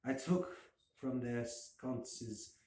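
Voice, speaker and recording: Mid-pitched man reading aloud, echoey room. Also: very slow. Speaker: amateur story reader. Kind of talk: read-aloud gothic story narration. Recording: echoey room with faint noise.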